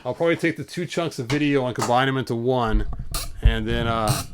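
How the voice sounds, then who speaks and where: A man's voice: mid-range voice, fairly quick, background noise. Man casually reading a storybook aloud. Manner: informal, stumbles over words and breaks off for asides. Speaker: host reading a storybook aloud to online viewers.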